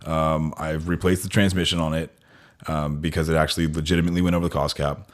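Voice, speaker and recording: Deep-voiced man talking shop. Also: level tone, fast talker. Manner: rambling, technical shop talk with fillers. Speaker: podcast co-host, dealership technician. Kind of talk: casual chat.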